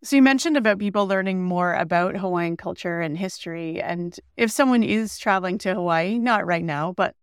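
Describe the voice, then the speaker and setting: A woman's voice: lowish voice, fairly quick, few pauses, clear, resonant tone, no room sound, studio quality. A woman co-hosting a podcast, asking interview questions carefully and rephrasing as she goes. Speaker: podcast co-host.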